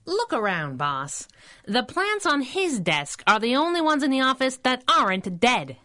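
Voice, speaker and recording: Sassy, animated superhero sidekick. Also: female voice, high-pitched, unhurried, exaggerated voice. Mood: skeptical, wry. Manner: slangy, cheeky, punchy. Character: sassy, wisecracking young female superhero sidekick. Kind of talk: 1930s radio adventure drama acting.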